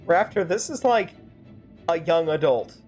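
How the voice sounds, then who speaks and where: A woman's voice: on the low side, lively intonation, a little slow, darkish tone, soft background music. A woman streamer improvising rambling, sarcastic commentary and role-play while playing a game. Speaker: streamer fan-dubbing a game.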